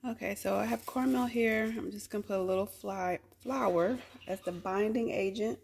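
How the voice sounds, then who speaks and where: A woman's voice: medium pitch, normal speed, creaky, darkish tone, slight background noise. Chatty woman vlogging in an echoey home. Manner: chatty, laughs often, talks to viewers directly. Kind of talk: casual cook-with-me vlog. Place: home bathroom, then kitchen. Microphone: phone camera at arm's length.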